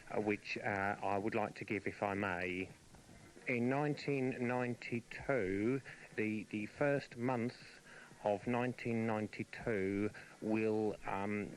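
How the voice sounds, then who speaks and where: A man's voice: lowish voice, slight background noise, low-quality recording. A man playing a bumbling, hesitant comic weather prophet on the radio, stammering solemnly.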